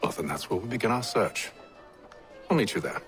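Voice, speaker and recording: A deep-voiced British gentleman from a video game drama. He is composed and calculating, with measured, formal phrasing that moves expressively up and down. Soft music plays behind him. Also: animated. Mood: brisk, businesslike. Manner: measured, formal, coolly assured. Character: composed, calculating English gentleman leading a secret order. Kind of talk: scripted video game drama and journal narration.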